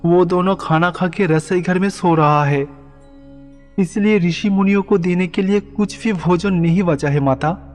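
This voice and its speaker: A man's voice: high voice, unhurried, darkish tone, voice pushed up. A man voicing a flustered, worried bull attendant pleading in a devotional storybook tale. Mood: anxious, reporting. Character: anxious, flustered bull attendant fretting over a ruined meal. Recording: long reverb, background music.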